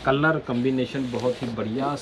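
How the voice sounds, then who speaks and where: A man with a Punjabi accent selling shawls wholesale in a persuasive, repetitive sales pitch. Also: fairly even intonation, moderate pace. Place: indoor cloth shop. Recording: noisy shop recording.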